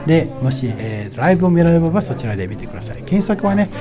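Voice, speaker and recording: A man's voice: a little high, very animated, racing. A man with a Japanese accent hosting a live radio broadcast at a pop-up event, chatting politely and casually in a bilingual way. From Los Angeles, based there. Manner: polite, switches between Japanese and English. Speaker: radio host. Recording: busy event crowd and music. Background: crowd chatter and music.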